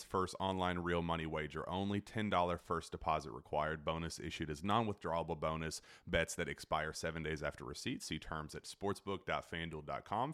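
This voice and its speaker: Male disclaimer announcer, low register, clean resonant tone, scripted read. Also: fairly bright tone, clear, resonant tone.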